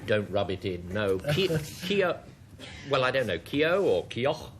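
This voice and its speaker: Wry male quiz host, lively pitch. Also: a little slow. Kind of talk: lively studio quiz chairing. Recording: old TV broadcast with audience noise. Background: studio audience.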